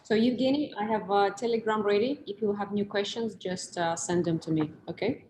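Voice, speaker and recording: A woman's voice: medium pitch, fairly quick, a little mellow. A woman moderates an online talk over a video call, upbeat as she switches between English and Russian. Her voice rings in an echoey room, with faint background noise underneath. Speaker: host and moderator.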